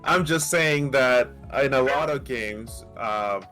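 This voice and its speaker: A man with a light Mexican accent who co-hosts a podcast. He argues in a casual, animated way, often restarting and asking "right?". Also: medium pitch, takes it slowly, soft background music. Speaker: podcast co-host. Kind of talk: casual debate.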